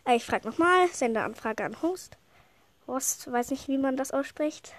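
A woman's voice: sits high, animated, a little slow, dry recording. A woman with a head cold giving casual, rambling commentary while she plays a game, sniffling and chatting with lots of fillers. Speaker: gameplay commentator.

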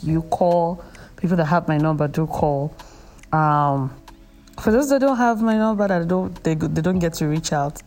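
A woman's voice: on the low side, a little mellow. A young Nigerian woman with a Nigerian accent, hosting a podcast in a chatty, self-mocking way, often hesitating with fillers. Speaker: podcast host. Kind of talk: casual chat.

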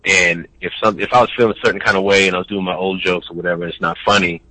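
A man's voice: deep voice, steady tone, racing, sharp tone, no echo, rough recording. A male comedian in casual chat, talking earnestly and leaning on "you know". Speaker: stand-up comedian.

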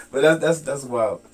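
A man's voice: on the high side, distant mic. A young man hosting a podcast and chatting casually with his father. He talks loosely, with lots of fillers and restarts. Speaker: podcast host. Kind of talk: casual interview chat.

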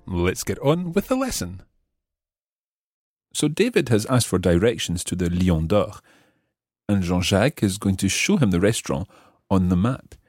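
Low-voiced man teaching with lively intonation. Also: husky, no echo, clean studio sound. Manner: measured, careful articulation of example phrases. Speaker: language teacher and host. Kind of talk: scripted lesson explanation, phrase by phrase.

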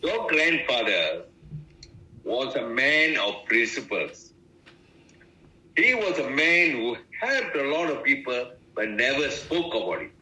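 A man's voice: medium pitch, very animated, slow, pushed and bright, low-quality recording. A man, a retired ambassador telling stories in a leisurely, good-humoured way during an interview. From Malaysia. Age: 90s. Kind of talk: casual interview reminiscence.

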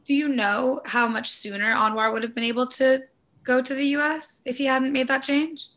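A woman interviewer who asks gentle, probing follow-up questions. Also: high voice, fairly even intonation, normal speed.

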